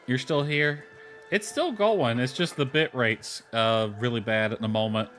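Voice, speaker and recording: A man's voice: mid-range voice, unhurried, fairly bright tone. A man giving casual, rambling commentary on a game livestream, mildly grumbling as he goes. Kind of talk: casual gameplay stream commentary. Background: music.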